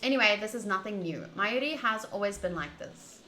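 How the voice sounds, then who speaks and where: Bright-voiced woman streamer in an echoey room. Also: mid-range voice, animated, brisk. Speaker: streamer playing a visual novel. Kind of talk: casual playthrough commentary and reading game text aloud. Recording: roomy echo, faint background noise.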